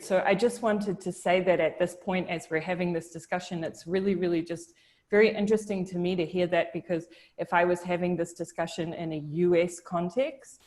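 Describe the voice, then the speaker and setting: A woman's voice: lowish voice, a little mellow. Hesitant young woman on a video call. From New Zealand. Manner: thoughtful, hesitant, many restarts and fillers. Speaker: discussion participant. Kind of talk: casual video-call discussion.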